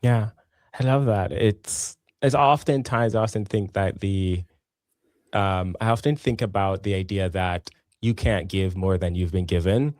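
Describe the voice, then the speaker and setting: A man hosts an interview over a video call, his voice sitting on the low side. He asks open, curious questions, and the sound is dry and close with no room around it. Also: steady tone, measured pace, dry recording. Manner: warm welcoming, curious questioner. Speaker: podcast host. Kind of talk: casual interview hosting.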